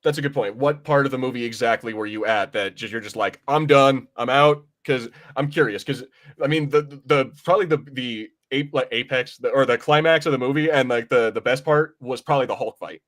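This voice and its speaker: A man's voice: medium pitch, a little fast, fairly bright tone. A man hosting a podcast, chatting casually about films in an informal, slangy way with some mild swearing. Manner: informal, slangy, mildly profane. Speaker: podcast host. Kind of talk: casual film review chat.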